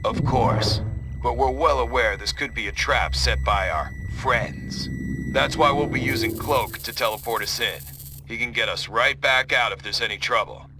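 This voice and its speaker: An actor playing a serious, businesslike tactician giving a briefing in a video game. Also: male voice, medium pitch, huge pitch swings, moderate pace. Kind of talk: game cutscene acting. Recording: clearly noisy background ambience.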